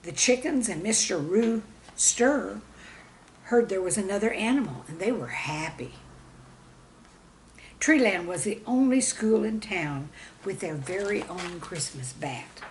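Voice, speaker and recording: A woman reading a scripted children's story with expressive, dramatic storybook emphasis. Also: medium pitch, unhurried, slight background noise, rough recording. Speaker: storyteller and author reading own stories.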